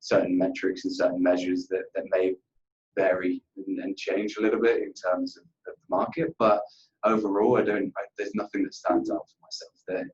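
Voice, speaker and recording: A man's voice: deep voice, little pitch movement, normal speed, darkish tone, muffled recording. A man answering questions in a workplace interview, earnest and conversational, often repeating himself and padding with "you know". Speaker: account manager being interviewed.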